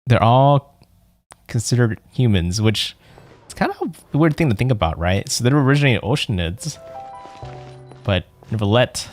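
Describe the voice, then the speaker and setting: A man's voice: mid-range voice, lively intonation, moderate pace, pauses often, dry recording. Rambling male game streamer, music behind. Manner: rambling, musing, often ends on a questioning "right?". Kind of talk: casual let's play commentary.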